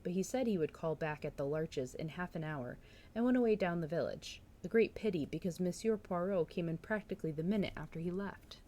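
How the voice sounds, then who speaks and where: A woman voicing the nosy, insistent sister of a village doctor in a storybook reading, brisk and pressing. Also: lowish voice, lively intonation, warm tone, lightly acted. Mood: eager. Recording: noticeable background noise.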